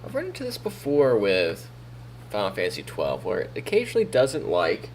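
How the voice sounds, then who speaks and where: A man's voice: on the low side, moderate pace, slight background noise. A man streaming on a weekend morning, chatting casually with a groggy, froggy morning voice. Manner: relaxed, talking to himself while troubleshooting. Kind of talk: casual live-stream chat.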